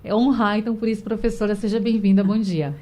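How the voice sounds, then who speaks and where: A woman's voice: mid-range voice, fairly even intonation, quick pace, dark tone. A woman hosting a radio talk show in a studio, welcoming guests and steering the debate in a conversational way. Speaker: radio host.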